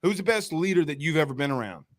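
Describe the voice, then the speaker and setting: A man hosting a sports talk show, chatting and asking questions in an informal, animated fan's way. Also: on the high side, quick pace, dry recording. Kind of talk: casual sports banter and questions.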